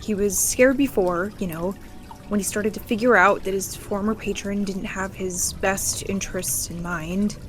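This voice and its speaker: A woman talking casually as a player in a tabletop fantasy game. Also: medium pitch.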